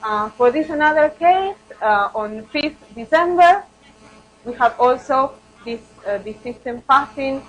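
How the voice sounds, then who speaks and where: A woman's voice: high voice, animated, unhurried, slight background noise, low-quality recording. A woman researcher presenting study results at a conference, speaking hesitantly and often repeating words.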